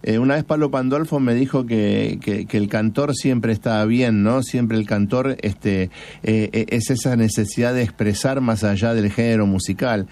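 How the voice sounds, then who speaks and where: Mellow-voiced Argentine radio host. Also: male voice, fairly low pitch. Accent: Argentine Spanish. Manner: rambling, halting questions with fillers. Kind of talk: radio interview.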